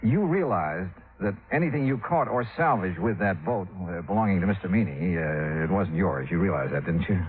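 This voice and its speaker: A man's voice: low voice, animated, normal speed, few pauses. An American man playing a dogged, wry insurance investigator in a 1950s radio drama, with a probing, conversational manner. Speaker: lead actor. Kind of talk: 1950s radio drama acting with first-person narration. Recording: phone-like vintage radio recording with music.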